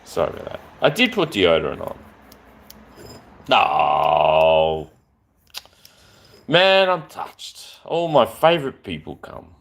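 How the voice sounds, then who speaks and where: Animated, chatty man. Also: sits low, slow pace, long pauses. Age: 40s. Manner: affectionate, rambling, chatty. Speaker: live streamer. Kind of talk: rambling live-stream chat.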